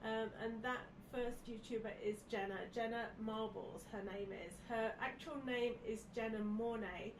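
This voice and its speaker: A woman, snuffly with a head cold, chatting casually as a podcast guest. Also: on the high side. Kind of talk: casual chat from notes. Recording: echoey, distant mic, clear background noise.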